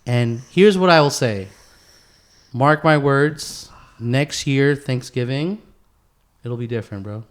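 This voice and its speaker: A male podcast co-host with a middle-pitched voice chats casually. His talk is loose and slangy, full of swearing and buddy-style address. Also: slow, long pauses. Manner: profane, slangy, peppered with "bro" and "dude".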